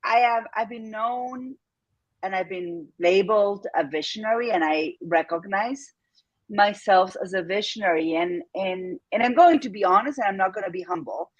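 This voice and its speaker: A woman's voice: medium pitch, lively intonation, moderate pace, no room sound, lo-fi sound. A woman in her fifties from Argentina with an Argentine Spanish accent, a business owner speaking candidly and reflectively as an interview guest, with frequent fillers and laughs. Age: 50s. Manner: reflective, frank, laughs often, many fillers.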